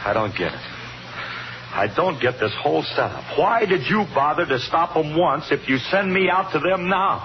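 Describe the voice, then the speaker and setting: A man's voice: a little high, sing-song, moderate pace, noisy background. An American man acting a remorseful, hunted man in a 1950s radio thriller. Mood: agitated defiance. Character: doomed, remorseful hunted man facing a vengeful mob. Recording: dull 1950s radio broadcast with music.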